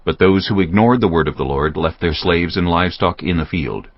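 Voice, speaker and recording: A man's voice: low voice, a little fast, dry recording. A man narrating scripture from a script as the storyteller of a dramatized audio production. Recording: dull band-limited audio under music. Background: music.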